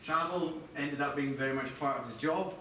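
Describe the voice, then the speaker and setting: A man's voice: fairly high pitch, moderate pace, pushed and bright, far from the mic. A middle-aged Scottish man giving a live presentation as a designer, in a conversational, hesitant way with restarts. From Scotland. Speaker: chief TV product designer presenting. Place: echoey presentation room with audience. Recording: echoey room from afar, clear background noise.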